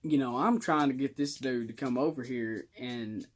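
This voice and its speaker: A man's voice: mid-range voice, normal speed. A young man telling a personal story in casual, colloquial speech with some swagger.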